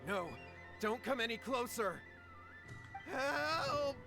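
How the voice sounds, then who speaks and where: A man's voice: very high-pitched, animated, slow pace, over loud music, exaggerated voice. An actor playing a nervous, guilty young man in a video game, stammering, evasive and pleading. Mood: panicked. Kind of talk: English video game voice acting.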